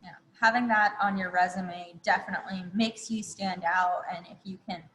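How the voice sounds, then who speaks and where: A woman's voice: medium pitch, fairly even intonation, normal speed, a bit bright. A woman hosting a web chat, friendly and laughing often as she asks questions. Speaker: host. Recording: long reverb, faint noise.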